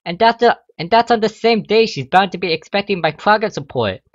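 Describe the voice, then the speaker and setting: Guy doing a flustered teen girl unicorn, whiny and pleading, pitched up, storybook cartoon pony, muffled recording. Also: expressive, a little fast, no echo. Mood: panicked. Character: anxious, whiny young female unicorn student, exasperated with her mother.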